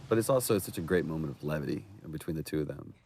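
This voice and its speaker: A man's voice: extremely low pitch, animated, very fast, darkish tone, slight background noise. A man giving casual, unscripted commentary in an appreciative, enthusiastic manner. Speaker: commentary participant.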